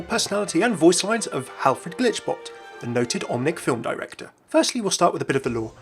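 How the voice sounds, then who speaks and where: A man's voice: on the high side, fairly bright tone. A man reading scripted narration as the presenter of a gaming video. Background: background music.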